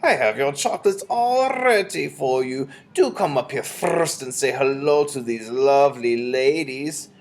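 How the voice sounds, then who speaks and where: A performer voicing a falsely sweet female witch in a storybook, cooing gently and syrupy as if coaxing a child. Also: high-pitched, big pitch swings, moderate pace, voice pushed up. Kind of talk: storybook character voice.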